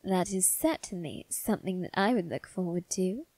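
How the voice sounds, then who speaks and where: A woman's voice: on the low side, expressive, normal speed, no echo. A woman voicing a suave, doting young male vampire aristocrat in an ASMR roleplay, speaking to the listener in a genteel, coaxing, faintly sinister way. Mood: tender, teasing. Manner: genteel, coaxing, softly condescending.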